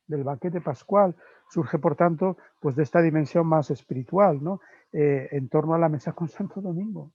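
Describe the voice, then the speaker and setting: A middle-aged man with a Spanish accent giving a spiritual lecture as a Dominican friar, reflective and pausing often to search for words with little tag questions. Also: a little high, a little mellow, no echo. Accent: Spain Spanish. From Spain. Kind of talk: spiritual conference talk. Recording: dull, lo-fi dry recording.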